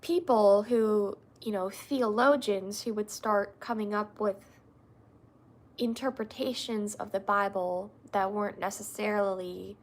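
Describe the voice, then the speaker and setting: Slow-talking congested young woman. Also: mid-range voice. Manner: rambling, many restarts and fillers. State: congested from allergies. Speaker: livestream host answering viewers. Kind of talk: casual faith talk on a livestream.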